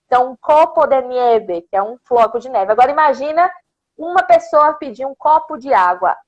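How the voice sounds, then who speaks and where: A woman with a São Paulo Brazilian accent and a high voice hosts a relaxed chat. She explains words the way a teacher would, with bright asides and quick laughter. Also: highs cut off. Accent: São Paulo Brazilian Portuguese. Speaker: Spanish teacher and host. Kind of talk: casual interview chat.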